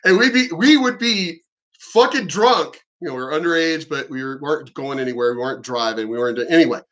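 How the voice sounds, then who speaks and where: A man with a high, bright voice rants in a combative, mocking way, swearing freely. He sounds pointed and sharp as he tears into what he is reacting to. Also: a little fast. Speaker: reaction commentator. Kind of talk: ranting reaction commentary.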